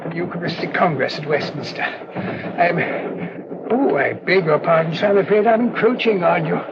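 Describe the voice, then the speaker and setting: On a muffled, noisy old radio recording, a man plays a humble English parish priest. His voice is rather high, and he talks in a flustered, deferential, wordy way, fussing politely like a character from classic radio drama. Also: normal speed, close to an everyday voice. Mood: flustered, apologetic.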